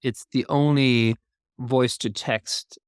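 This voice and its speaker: A man who speaks English co-hosts a podcast interview. His voice sits at a middle pitch. He talks in a reflective, loosely phrased way with many fillers, and the recording is dry and close. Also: steady tone, slow, clear, resonant tone, no echo. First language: Danish. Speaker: podcast co-host. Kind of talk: conversational interview.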